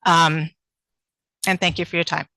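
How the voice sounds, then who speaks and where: A woman teacher makes an earnest, pleading public comment at a town meeting. Her voice sits a little low but rings bright and forceful, and the sound is dry and close. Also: level tone, a little slow, gravelly, no echo. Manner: earnest, pleading, with frequent ums. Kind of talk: prepared public statement.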